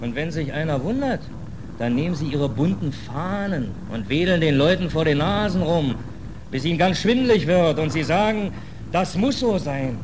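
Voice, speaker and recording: A man's voice: a little high, lively intonation, moderate pace, close to an everyday voice. A man playing an embittered, hungry sailor in a 1940s radio drama, brooding and colloquial. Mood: angry, bitter. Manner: colloquial, brooding, indignant, clipped working-class speech. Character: embittered, hungry stateless sailor on a death ship. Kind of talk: 1940s German radio play acting. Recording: noisy old 1940s radio recording.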